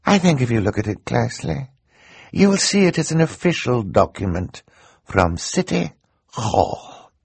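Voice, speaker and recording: A man's voice: mid-range voice, big pitch swings, a little slow, vocal fry, breathy edge, no room sound, voice pushed up, exaggerated voice. A man voicing a scheming, theatrical male villain who gloats and mocks, in an audiobook. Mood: smug. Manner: gloating, grand and mocking.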